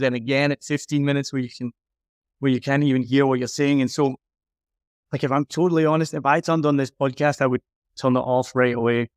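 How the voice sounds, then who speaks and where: Frank man with a clear, dry voice. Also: medium pitch, no room sound. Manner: frank, emphatic. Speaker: podcast audio consultant and reviewer. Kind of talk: conversational critique and advice.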